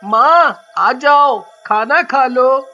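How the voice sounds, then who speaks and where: A man's voice: extremely high pitch, drawn-out words, sharp tone, full character voice. A man voicing a poor, caring young boy in a moral story, with a childlike, earnest manner. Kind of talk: animated moral story dialogue. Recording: muffled audio with music behind.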